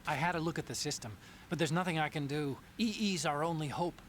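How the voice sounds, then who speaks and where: A man's voice: fairly high pitch, fairly quick, a bit bright, slight background noise, lightly acted. A man voicing a stammering nervous engineer. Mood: weary. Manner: hesitant and stammering. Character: nervous young male engineer, anxious older brother.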